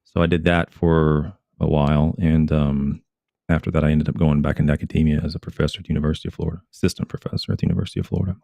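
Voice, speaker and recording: Guy from oklahoma as expert guest, low creaky voice, halting casual talk, dry recording. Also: flat delivery, warm tone, no echo. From Oklahoma, United States. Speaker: expert guest, retired turfgrass academic. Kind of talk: casual interview, telling own background.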